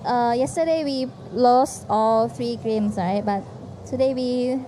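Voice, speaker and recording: A woman esports player from Thailand, speaking halting English with a Thai accent and shy laughs in an interview. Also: on the high side, mellow, slight background noise.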